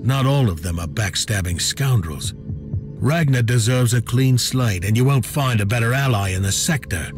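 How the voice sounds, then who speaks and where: Roguish, hard-drinking old space captain, male actor, jovial and theatrical, very animated pitch, clear music underneath. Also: moderate pace, close to an everyday voice. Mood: earnest. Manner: jovial, theatrical, mock-courteous. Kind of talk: sci-fi video game voice acting.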